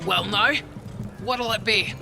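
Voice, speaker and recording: A squat, bearded troll of a barmaid bellows hearty banter in a gruff, gravelly rasp. She drags her words out a little, with music playing behind her in this fantasy audio drama. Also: medium pitch, slow pace. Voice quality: gritty, gravelly. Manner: loud pub banter.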